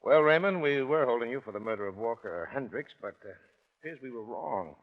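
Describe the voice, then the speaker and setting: An actor playing a wry, knowing police detective, explaining with irony in 1940s radio acting style. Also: male voice, medium pitch, lively intonation, fairly quick, lightly acted. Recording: muffled 1940s radio recording.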